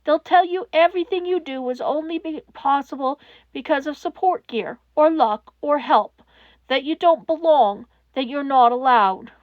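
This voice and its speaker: A woman voicing a kind, earnest sixteen-year-old boy who answers a crisis hotline in a story, gentle and reassuring. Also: high-pitched, normal speed, no room sound, dull audio, close to an everyday voice.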